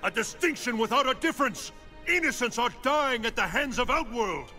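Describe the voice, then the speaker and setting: An actor playing a solemn, pleading thunder god and protector in a video game, with grave, formal speech. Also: male voice, extremely high pitch, lively intonation, normal speed, music in the background. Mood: urgent pleading. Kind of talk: dramatic video game voice acting.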